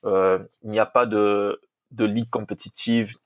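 A man's voice: lowish voice, flat delivery, unhurried, background noise. A man from Congo, a football coach and podcast guest, talking earnestly with many restarts and fillers, Lingala his first language. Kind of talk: casual podcast interview.

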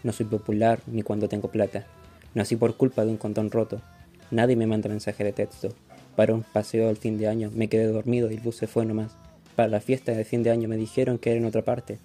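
Dark, monotone Chilean schoolboy loser played by a man. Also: fairly low pitch, brisk, faint music behind, lightly acted. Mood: bitter, dejected. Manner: flat, deadpan listing of failings. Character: bullied, bitter, self-loathing schoolboy loser. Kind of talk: radio play monologue.

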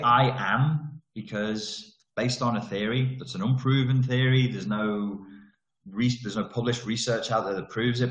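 A middle-aged man coaching in a casual monologue, blunt and full of slang and jokes. His voice sits on the low side. The room is echoey. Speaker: self-development coach.

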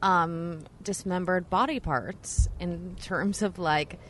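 A woman's voice: on the low side, slow, a bit bright, a little hiss or hum. A woman in her thirties hosting a casual podcast chat, rambling with lots of fillers and swearing freely. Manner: chatty, rambling, many fillers, profane, laughs a lot.

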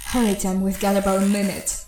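A woman's voice: medium pitch, monotone, a little fast, warm tone, reverberant, slight background noise, lightly acted, poor audio. A woman playing an urgent, exasperated spaceship systems technician in a farcical audio comedy.

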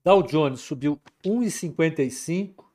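A man hosting a casual live market commentary, relaxed and chatty, reading viewer comments aloud. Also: a little high, a little slow. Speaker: market commentary livestream host.

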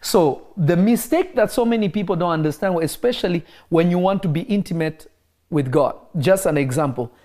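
A man who grew up in Kenya, preaching in a relaxed interview and driving his points home by repeating key phrases. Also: high-pitched, normal speed. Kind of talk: conversational preaching.

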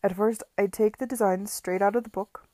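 Brief: female reader doing a frazzled, excited man, loud and hurried, mellow tone. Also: medium pitch, dry recording, studio quality, lightly acted. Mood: eager. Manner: loud, eager, rushed. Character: flustered excitable gentleman builder, scattered but eager.

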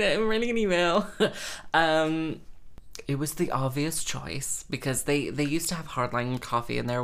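A young woman in her twenties, a musician chatting in a rambling, self-mocking way with lots of fillers. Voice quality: says she has a squeaky voice. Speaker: musician and vlogger. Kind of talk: casual rambling monologue.

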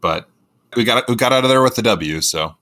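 A man who is a middle school teacher with a booming voice, co-hosting a casual sports podcast in an animated way. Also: brisk. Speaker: podcast co-host.

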